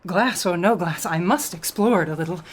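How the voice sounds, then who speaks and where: A woman's voice: on the low side, brisk, lightly acted. An actress playing a rational, skeptical intellectual woman, wry and articulate, in a radio horror drama. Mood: skeptical, curious.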